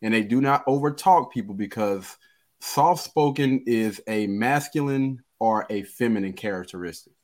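A relaxed man with a somewhat low voice hosts a casual chat. He asks his guests questions in an easygoing way and laughs readily. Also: normal speed. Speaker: host. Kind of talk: casual group discussion.

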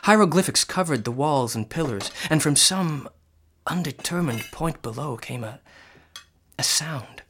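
A haunted young sculptor in a radio play. His voice sits a little high, and his delivery is florid, urgent and deferential. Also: animated, measured pace, fairly bright tone, a little hiss or hum. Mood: feverish, awed. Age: young adult. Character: intense, earnest young male sculptor haunted by dreams. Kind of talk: radio drama acting.

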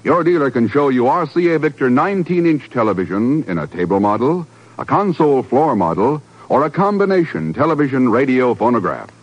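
A man's voice: medium pitch, sing-song, fairly quick. A man with an American accent, a polished, emphatic 1950s radio announcer reading scripted copy. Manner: emphatic, polished sales delivery. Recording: muffled 1950s radio broadcast.

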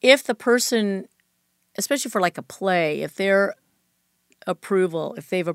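A woman's voice: mid-range voice, animated, a little slow, clear, resonant tone, dry recording, studio quality. A woman chatting casually as an interview guest, telling anecdotes in an effusive, informal way and often trailing off mid-sentence.

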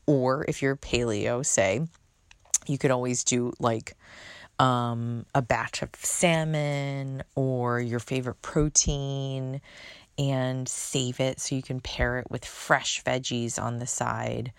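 A woman's voice: very deep voice, fairly even intonation, slow pace, smooth, dry recording, clean studio sound. A woman hosting her own podcast in a chatty, unfiltered way, with a soothing voice and casual swearing. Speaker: podcast host. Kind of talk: casual solo podcast chat.

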